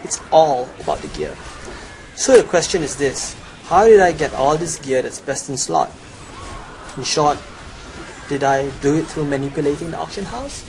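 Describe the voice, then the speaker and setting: A man's voice: fairly high pitch, very animated, moderate pace, darkish tone. A young adult man giving a scripted promotional voice-over as a gamer presenting his guide. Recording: clearly noisy home recording.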